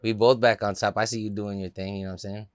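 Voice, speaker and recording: Low-voiced laid-back man from Los Angeles. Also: level tone, fast, clear, resonant tone, no room sound. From Los Angeles area. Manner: laid-back, loose storytelling with many fillers. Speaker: interview guest, rapper. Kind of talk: casual interview talk.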